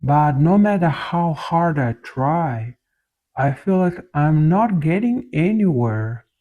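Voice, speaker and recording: A man's voice: a little high, expressive, slow pace. A man voicing a serious, frustrated young male athlete in a children's fairy tale, earnest, with a thin phone-like sound.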